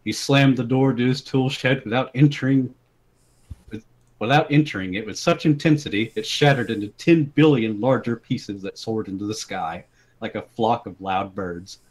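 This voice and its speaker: A man's voice: medium pitch, normal speed. A man doing a dramatic reading of a comic story in a casual voice chat.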